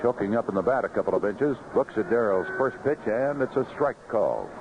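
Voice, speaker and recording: A man's voice: fairly low pitch, very animated, brisk, highs cut off, noisy background. A man calling live baseball play-by-play as a radio announcer on a vintage 1970s broadcast. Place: outdoor ballpark broadcast booth.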